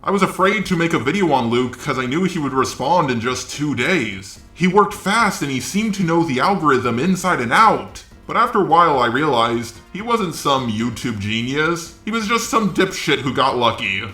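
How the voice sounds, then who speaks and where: A man's voice: a little high, sing-song, moderate pace, hardly any pauses, sharp tone, roomy sound, faint music behind. A man delivering blunt, sweary, opinionated commentary as a video host, often asking rhetorical questions. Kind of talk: opinionated drama commentary, talking to camera audience.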